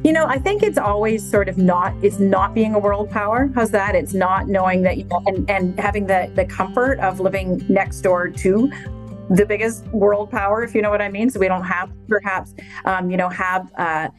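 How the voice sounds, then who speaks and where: A woman's voice: medium pitch, normal speed, music in the background. An older woman from Canada, a radio host and grandmother, chatting casually and self-deprecatingly. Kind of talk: casual chat.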